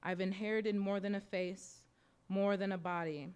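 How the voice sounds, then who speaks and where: Smooth-voiced American woman reading prose. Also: mid-range voice. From United States. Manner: measured, reflective, softly expressive delivery. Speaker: author reading her essay. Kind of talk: literary reading of personal prose. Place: bookstore event in front of an audience.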